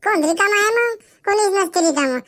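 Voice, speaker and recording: Woman doing a really high squeaky village housewife, varhadi marathi accent, bright, scolding, cartoon argument style. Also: lively intonation, brisk, dry recording. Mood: indignant scolding. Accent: rural Varhadi Marathi. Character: quarrelsome village housewife complaining at the water tap. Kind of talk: comic village cartoon dialogue.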